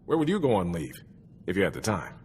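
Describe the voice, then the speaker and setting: A young army soldier in a video game, easygoing and wry. His voice sits fairly low and swings freely up and down as he chats and muses, with a faint noise behind him. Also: huge pitch swings, quick pace, a little mellow. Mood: chatty, upbeat. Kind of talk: video game cutscene dialogue.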